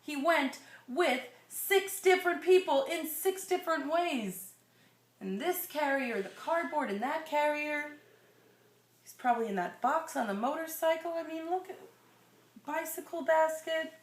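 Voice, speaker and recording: A woman's voice: sits high, measured pace. A woman reading a children's story aloud expressively, drawing out phrases and adding conversational asides and questions for her listener. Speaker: reader of a children's picture book to a listener. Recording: roomy, echoing sound.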